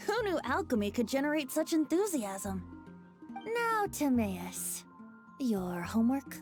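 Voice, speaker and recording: A boyish young bard from a video game, high-voiced and lilting. He is breezy and teasing, taking his time with theatrical flourishes over soft music. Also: sing-song, measured pace. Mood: playful, theatrical.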